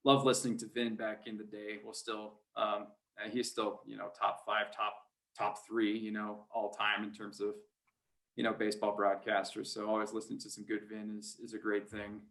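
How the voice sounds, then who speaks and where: A man's voice: fairly low pitch, completely flat delivery, moderate pace, a bit bright. Rambling man in a casual interview. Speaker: sports play-by-play announcer being interviewed. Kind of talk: casual podcast interview chat.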